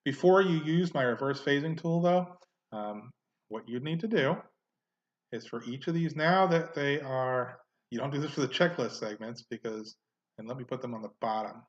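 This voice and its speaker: A man hosting a casual genealogy software tutorial, thinking aloud as he clicks through, with frequent "uh" and "um" fillers. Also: mid-range voice, moderate pace, lo-fi sound. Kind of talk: casual screen-recorded software walkthrough.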